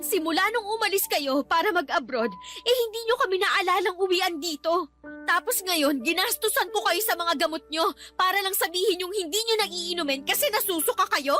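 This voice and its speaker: Indignant young filipino daughter, radio drama, really high sharp voice, music underneath. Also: female voice, heavily acted. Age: young adult. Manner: indignant, reproachful. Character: fed-up, outspoken working adult daughter. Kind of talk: Tagalog radio drama acting. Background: background music.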